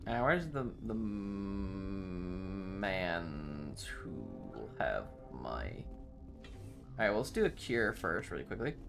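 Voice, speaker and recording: Lively, gravelly-voiced man livestreaming. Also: deep voice, slow, music in the background. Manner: playful, dramatic, swearing. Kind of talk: casual game stream commentary, reacting to chat.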